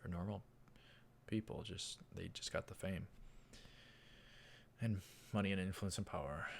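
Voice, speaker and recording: A man hosting a let's play, reading visual novel text aloud with halting delivery and casual commentary. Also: on the low side, vocal fry. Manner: halting, repeats words, interjects mild exclamations.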